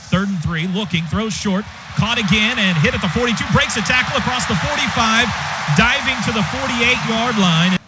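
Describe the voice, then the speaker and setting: An American man calling live football on the radio in clipped, rapid-fire phrases, with an excitable delivery that rises on big plays. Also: sits high. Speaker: play-by-play announcer. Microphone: broadcast booth microphone. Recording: dull lo-fi broadcast, loud stadium noise.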